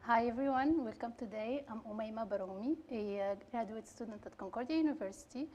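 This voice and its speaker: A woman's voice: on the high side, brisk, a little mellow, highs cut off. A young woman, a PhD student, moderating a panel in a friendly way with some hesitant fillers. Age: young adult.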